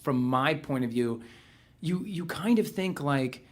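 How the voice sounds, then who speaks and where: A man's voice: fairly high pitch, lively intonation, measured pace. A man hosting a podcast, who asks eager clarifying questions and keeps affirming his guest. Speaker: podcast host. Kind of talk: casual interview.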